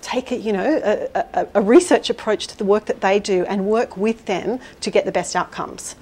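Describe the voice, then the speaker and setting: Energetic, loud-voiced woman speaker. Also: mid-range voice, moderate pace, husky. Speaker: conference speaker. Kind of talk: talk and Q&A. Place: event hall with audience.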